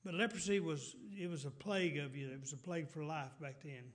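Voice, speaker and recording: A middle-aged preacher with a Texas drawl, his voice sitting a little high for a man. He works through his sermon in loose, rambling sentences, doubling back and restarting often. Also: brisk. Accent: Texan. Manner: rambling, many restarts and fillers, clears throat.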